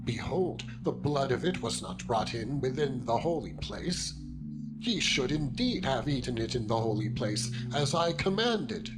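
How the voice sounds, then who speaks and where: A man's voice: medium pitch, very animated, unhurried, rough recording. A man playing a stern, commanding male biblical leader in a solemn, declamatory dramatized scripture reading. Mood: angry, reproachful. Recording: loud music bed under the voice. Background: loud music.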